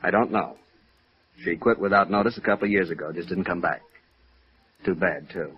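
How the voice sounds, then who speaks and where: An American actor playing a curt, world-weary, bitter doctor in a 1950s radio drama. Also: male voice, low-pitched, normal speed, husky. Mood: guarded. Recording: dull lo-fi old radio recording.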